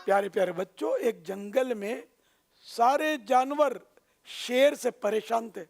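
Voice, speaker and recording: A man telling a children's moral story in an expressive way, addressing his young listeners directly and drawing out phrase endings for emphasis. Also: very high-pitched, slow pace, gravelly.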